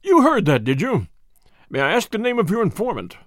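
A man's voice: sits high, huge pitch swings, brisk, no room sound, studio quality, voice pushed up, full character voice. A man voicing a haughty, cunning old professor in an audiobook story, formal and imperious, with a fierce temper. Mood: sharp suspicion. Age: older. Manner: formal, imperious, sharp questioning. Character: haughty old male professor, cunning, turning to screaming rage.

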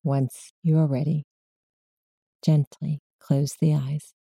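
A woman guides a meditation in a low, very mellow and soft-edged voice. She gives gentle, measured instructions in the manner of a mindfulness teacher. The sound is close and dry, with no room around it. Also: level tone, gravelly, no echo.

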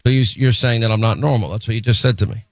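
A man's voice: medium pitch, steady tone, no room sound, clean studio sound. A middle-aged man hosting a talk radio show, bantering brashly with his producer and taking calls. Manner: brash, quick, joking.

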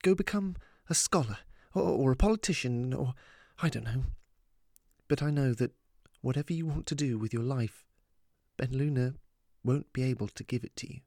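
A man voicing an anxious, loving mother in a story, talking in a fretful, halting way. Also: mid-range voice, a little mellow, no echo, studio quality, lightly acted. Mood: tender, encouraging. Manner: fretful, halting, repeating words. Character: anxious, exasperated, loving mother of a teenage daughter. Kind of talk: audiobook character dialogue.

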